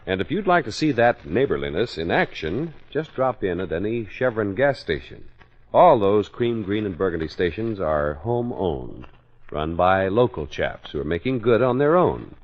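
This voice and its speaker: A man's voice: deep voice, big pitch swings, moderate pace. A man with an American accent reading scripted 1940s radio announcements and sponsor messages. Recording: dull old radio recording with music.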